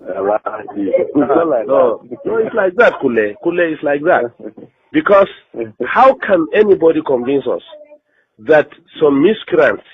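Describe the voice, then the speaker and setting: A man's voice: a little high, expressive, a little slow, slight background noise. A man on a recorded phone call, speaking heatedly and insistently.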